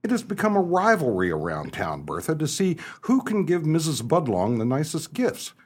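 A man playing an exasperated, wry small-town husband in a stagey comedic radio play, animated and sardonic. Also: fairly high pitch, moderate pace, breathy edge, full character voice. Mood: wry, grumbling.

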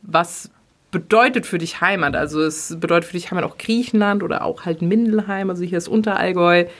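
A woman's voice: on the low side, a little fast. A woman hosting a podcast, asking questions in a chatty, informal and affirming way. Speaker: podcast host, interviewer. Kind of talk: casual conversational interview.

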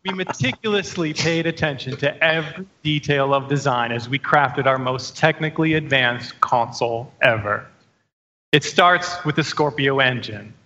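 Male keynote presenter, measured promo delivery, bright tone, muffled relayed audio. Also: medium pitch. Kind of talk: scripted product keynote. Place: large stage event.

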